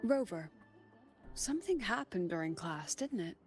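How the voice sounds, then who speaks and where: A woman playing a poised, intrigued academy president in a video game, speaking in a measured, teasing way with theatrical pauses. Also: on the low side, big pitch swings, faint music behind.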